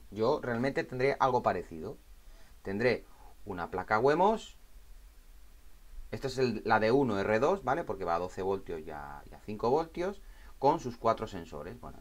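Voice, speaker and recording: A man with an accent from Spain giving an informal, step-by-step technical explanation as an instructor, repeating phrases and often checking in with short tags. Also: on the low side. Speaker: tutorial instructor.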